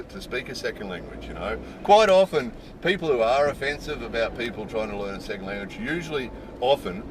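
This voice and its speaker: A middle-aged man, chatting casually to camera in a rambling way with lots of "you know". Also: lowish voice. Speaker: vlogger living in Japan. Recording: outdoor street noise.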